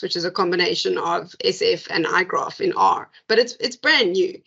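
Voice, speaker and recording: A woman's voice: fairly low pitch, creaky, a bit bright, husky, highs cut off. Chatty woman hosting a video-call seminar. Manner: chatty, thinking aloud with fillers. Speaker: seminar host. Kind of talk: academic discussion and closing remarks.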